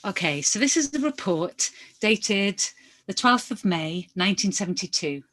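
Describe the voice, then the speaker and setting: A woman reading the part of an inquiry lawyer, putting formal questions in a measured, methodical way. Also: medium pitch, expressive, a bit bright, low-quality recording. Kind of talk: verbatim reading of hearing questions.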